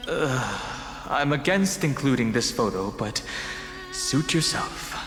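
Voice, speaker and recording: A man playing a reserved, thoughtful young travel companion in a video game, measured, dry and earnest. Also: mid-range voice, lively intonation, reverberant, in a large hall, over music. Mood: dry, reluctant. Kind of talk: English video game voice acting.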